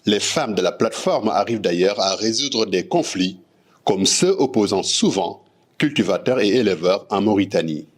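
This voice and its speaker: A man's voice: on the low side, lively intonation, moderate pace. A man reading a scripted news report.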